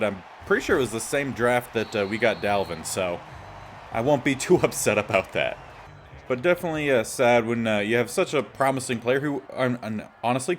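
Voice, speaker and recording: Slightly raspy man commentating, some background noise. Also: mid-range voice. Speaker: gaming series host. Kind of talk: casual gameplay commentary.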